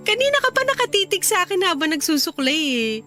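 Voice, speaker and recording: A woman playing an expressive young wife and mother in a radio drama, emphatic and full of pointed questions. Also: extremely high pitch, heavily acted. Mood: playful, teasing. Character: exasperated young wife and mother, teasing then reproachful. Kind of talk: radio drama acting in dialogue. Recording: music under the voice. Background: background music.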